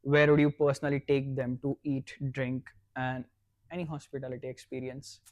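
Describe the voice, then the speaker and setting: A man's voice: mid-range voice, little pitch movement, normal speed, a little mellow, dry recording. A man hosting a podcast in an informal, meandering, chatty way. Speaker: podcast host. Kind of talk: casual interview chat with a scene-setting introduction.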